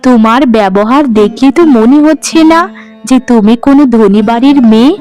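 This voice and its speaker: Woman as village neighbour, high voice, frank, nosy, chatty, cartoon dialogue, music behind. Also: moderate pace, darkish tone, close to an everyday voice. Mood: surprised.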